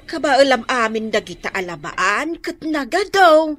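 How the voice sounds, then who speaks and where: An actress with an Ilocano accent playing a kindly older woman neighbour in an expressive radio drama style. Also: high voice, a little slow, full character voice. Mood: kindly, approving.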